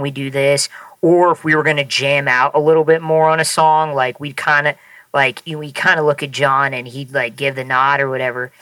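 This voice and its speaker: Male podcast guest, slightly high, bright voice, hesitant and curious, dry close mic. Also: no room sound. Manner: curious, hesitant, many fillers and restarts. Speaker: guest musician. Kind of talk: casual podcast chat.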